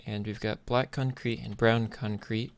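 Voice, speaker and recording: A man's voice: lowish voice, fairly even intonation, measured pace. A man giving casual gameplay commentary in a laid-back, hesitant way, thinking aloud as he goes. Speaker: gaming YouTuber. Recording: noisy mic, clicks and typing.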